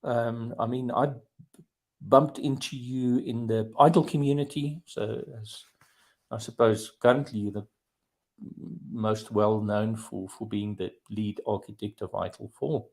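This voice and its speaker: A man hosting a podcast over a video call, talking slowly with many fillers and restarts. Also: fairly low pitch, fairly even intonation, darkish tone. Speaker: podcast host. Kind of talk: casual interview chat.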